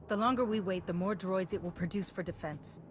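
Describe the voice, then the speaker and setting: A woman playing a calm, resolute knightly hero in a video game, direct and even-tempered. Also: mid-range voice, a little fast, natural voice. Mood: calm resolve. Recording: game music behind.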